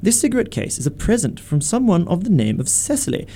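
A man acting in a radio play as a witty, idle young gentleman in a comedy of manners, with arch, languid, ornate phrasing. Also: sits high, sing-song, fast talker, full character voice. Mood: teasing, arch. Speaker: amateur radio theatre actor.

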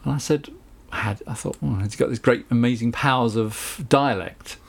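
A middle-aged man speaks with a Southern English accent, unhurried and conversational. He tells long stories in an interview, wanders into asides, and keeps an easy, anecdotal flow at a middling pitch. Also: creaky, slightly rough. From England. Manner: anecdotal, chatty, with frequent asides and laughs. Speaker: guest, recording engineer and producer. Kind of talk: relaxed interview storytelling.

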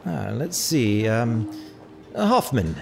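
A man's voice: mid-range voice, very animated, slow, vocal fry, a little mellow, soft background music, full character voice. An actor playing a courteous, attentive man who assists travellers in an audio drama, polite and obliging.

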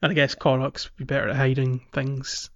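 A man co-hosting a casual gaming podcast, explaining in a halting way. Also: mid-range voice, level tone, a bit bright, muffled recording. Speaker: podcast co-host. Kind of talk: casual chat about a video game playthrough.

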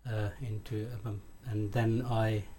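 A man from Pakistan, a solicitor and radio panel guest, answering questions in a measured, hesitant way with many uhs. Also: lowish voice, flat delivery, a loud crowd. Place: radio studio.

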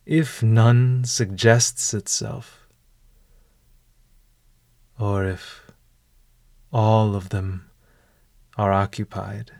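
A man narrating a guided meditation in a formal, measured, literary manner. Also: lowish voice, level tone, darkish tone, no echo.

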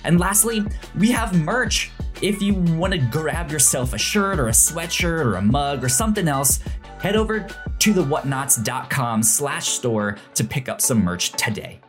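Very low-voiced woman, animated podcast host. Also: unhurried, soft background music. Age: 30s. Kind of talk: casual chat and informal plugs.

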